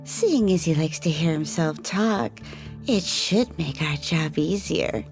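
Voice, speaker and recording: Woman doing a cunning, composed lady, very slow deliberate delivery, formal, quiet music underneath. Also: low voice, animated, creaky, heavily acted. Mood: calm, scheming. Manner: measured, poised and formal. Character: composed, cunning woman, devoted partner and schemer. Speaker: lead character in an audio drama. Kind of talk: ASMR audio roleplay acting.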